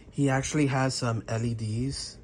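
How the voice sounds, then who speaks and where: A slightly hoarse man, half sick, casually reviewing a prop in a bedroom with frequent throat clearing and restarts. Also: mid-range voice, a little mellow, slight background noise.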